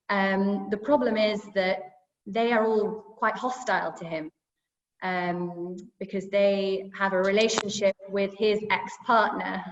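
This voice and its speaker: A woman journalist answers slowly and thoughtfully, stopping often to restart her sentences. Her voice comes through a video call with a roomy echo and a long tail of reverb. The sound is dull and muffled. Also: mid-range voice. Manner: halting, thoughtful, many fillers and restarts.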